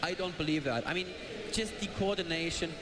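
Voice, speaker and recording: A man casting an esports match live, chatty and jokey, excitable. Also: a little high, brisk. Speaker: esports caster. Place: large event hall with live audience. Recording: echoey hall, noisy crowd.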